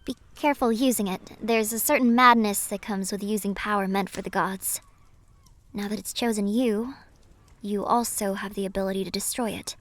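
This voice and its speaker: A woman playing a gentle, mysterious siren in an audio roleplay, guarded and wistful, speaking softly and with measured care, often slipping into a breathy whisper. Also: medium pitch, fairly even intonation, lightly acted. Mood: solemn warning. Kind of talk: cinematic audio roleplay acting.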